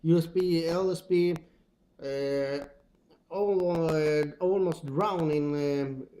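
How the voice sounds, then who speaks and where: A man's voice: on the high side, takes it slowly, warm tone. A man with a Swedish accent chatting casually as an amateur radio operator over two-way radio, searching for words in English. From Sweden. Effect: two-way radio transmission. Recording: noisy two-way radio with clicks.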